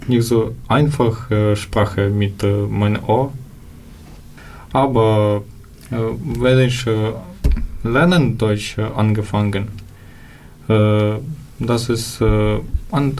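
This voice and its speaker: A seventeen-year-old man from Ukraine with a Ukrainian accent, answering interview questions in halting speech full of fillers as he searches for words. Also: lowish voice, darkish tone, a little hiss or hum. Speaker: interview guest.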